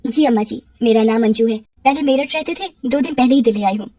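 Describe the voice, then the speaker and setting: A woman voicing a dutiful, gentle young widowed mother who speaks politely in a cartoon story. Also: a little high, fast talker, close to an everyday voice. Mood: respectful. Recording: roomy echo, distant from mic.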